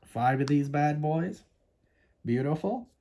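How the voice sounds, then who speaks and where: A man's voice: on the high side, expressive, slow pace, mellow. Chatty Canadian man showing off coins. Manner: chatty, enthusiastic, addresses viewers as guys. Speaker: coin collecting channel host. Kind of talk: casual show-and-tell vlog.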